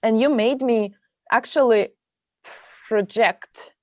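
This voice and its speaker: A woman's voice: slow pace, warm tone, dry recording, studio quality. A woman in her thirties hosting an interview, reminiscing about her lessons and laughing often as she talks. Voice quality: low voice. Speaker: interviewer, host. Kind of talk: casual interview chat.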